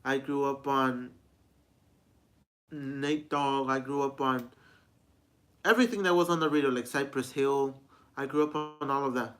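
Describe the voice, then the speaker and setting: A man's voice: medium pitch, steady tone, measured pace, long pauses, a bit bright. A man in his twenties giving a rambling, repetitive personal vlog talk, often restarting his sentences as a music reviewer. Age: 20s.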